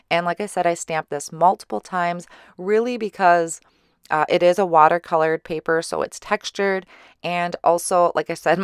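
Lady doing a relaxed crafting voiceover, kinda hoarse, lower voice, dry and close. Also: dry recording. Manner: relaxed, chatty explaining with small fillers. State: slightly hoarse. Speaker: card-making channel host. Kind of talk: craft tutorial voice-over. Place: recorded separately as voice-over.

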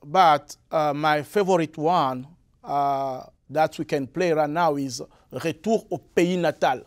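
A young man from the Republic of the Congo with a Congolese French accent, giving halting interview answers full of fillers and repeats. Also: a little high. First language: Lari. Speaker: interview guest, musician. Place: studio with blue curtain. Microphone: lapel mic.

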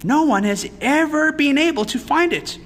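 Storybook lady librarian, done by a man, high pitch, curious, eager, lively swings. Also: moderate pace, long reverb tail. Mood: dramatic, earnest. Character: curious, startled woman librarian, eager to help.

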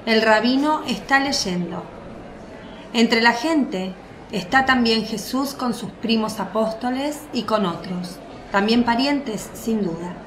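A woman narrating a scripted radio drama in a measured, formal storytelling manner, with an echoing sound. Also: a little high, animated, fairly bright tone, a little hiss or hum. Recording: roomy, echoing voice track. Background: faint music.

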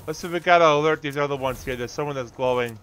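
A man's voice: a little high, very fast, a bit bright, soft background music. A man doing casual, offhand gameplay commentary, half talking to himself as he plays. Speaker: gamer host narrating own play.

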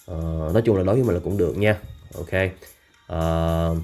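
A man's voice: sits low, fairly even intonation, moderate pace, darkish tone. A man chatting casually as a food and travel vlogger while riding through city streets at night, hesitant with many fillers. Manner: chatty, hesitant with frequent fillers, lists places. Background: street music.

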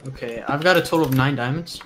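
A teenage boy chatting casually with teammates while playing a game, easygoing and sometimes trailing off quietly. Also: mid-range voice, quick pace. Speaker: player. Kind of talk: casual gaming chat. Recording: noisy voice chat.

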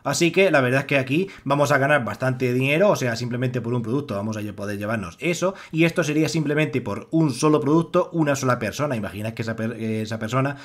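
A man's voice: mid-range voice, fast, few pauses. A young man with an accent from Spain narrating a screen-recorded tutorial, rambling with many fillers and restarts. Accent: Spanish from Spain. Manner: rambling, heavy use of filler "pues" and restarts. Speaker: online money-making tutorial host.

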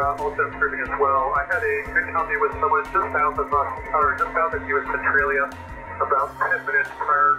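Male pilot heard over ham radio, flat, even delivery, radio-signal sound with faint music behind. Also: a little high, poor audio. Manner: measured, uses phonetic alphabet. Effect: radio transmission. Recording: radio signal picked up in room.

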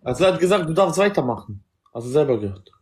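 A man hosts a livestream and keeps needling his guests to stir things up. His pitch swings lively and high. He talks in a loose, casual style. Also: quick pace, slight background noise. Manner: teasing, stirs up the guests. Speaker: livestream host.

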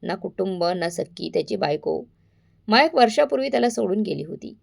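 Creaky-voiced woman storyteller. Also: mid-range voice, expressive, fairly quick. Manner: addresses listeners directly, suspenseful telling. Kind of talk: dramatic scripted story narration.

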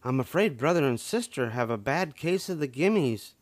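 A gentle mother bear from a children's story , acted by a man. She reasons with concern in a raised, lively voice, recorded dry. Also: mid-range voice, moderate pace, dry recording, voice pushed up, natural voice.

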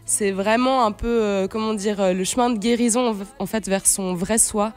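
A young woman talks casually in a radio studio, close to the mic. Her pitch sits in the middle and music plays behind her. She feels her way through sentences, pausing to find words like a relaxed guest. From Switzerland, then Brazil as a teenager. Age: late 20s. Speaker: guest, singer-songwriter. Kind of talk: casual radio interview chat. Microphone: studio microphone on a stand, close.

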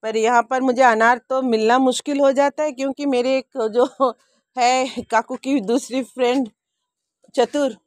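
A woman's voice: high voice, steady tone, unhurried, a little mellow, no room sound. Chatty woman in her sixties, dry sound. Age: 60s. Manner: chatty, homely, speaks directly to viewers. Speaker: vlogger. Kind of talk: casual rooftop garden tour. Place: outdoor rooftop garden. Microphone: handheld phone camera.

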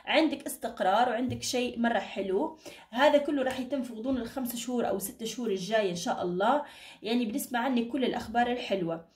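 Fast, high-voiced woman reading fortunes. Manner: reassuring, uses endearments and blessings. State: tired, strained voice. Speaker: card reader, channel host. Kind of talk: informal fortune-telling reading to camera. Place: indoor room at a table. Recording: echoey room, distant microphone.

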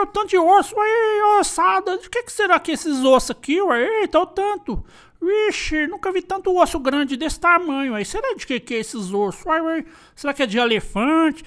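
An actor playing an excitable, simple country farmer in a cartoon, with a rural Brazilian caipira accent, exclaiming and rambling in a high put-on voice. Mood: puzzled, pondering. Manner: exclamatory, rambling, repeats himself. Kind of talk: animated cartoon monologue.

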